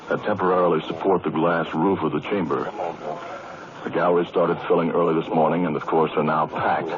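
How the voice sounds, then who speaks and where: An American man giving formal, solemn live commentary as a 1940s radio correspondent. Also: deep voice. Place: large crowded legislative chamber, live. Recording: noisy, muffled 1940s radio broadcast.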